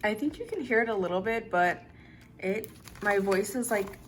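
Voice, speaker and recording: A young woman talks casually to her viewers in a relaxed, easygoing way. Her voice is a little raspy from lack of sleep, sitting at an ordinary middle pitch, and she drops in "like" often as she goes. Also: normal speed, faint music behind. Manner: relaxed, chatty, uses "like" and "literally" often. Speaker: budgeting vlogger. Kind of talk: casual chat to camera.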